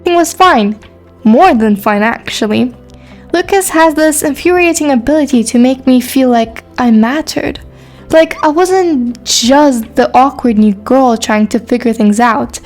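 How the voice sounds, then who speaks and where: A woman playing a shy, guarded teenage girl in an amateur romance drama, reading a little haltingly. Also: sits high, darkish tone. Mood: wistful. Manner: hesitant, halting reading with stumbles. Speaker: character and first-person narrator. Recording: music behind the voice.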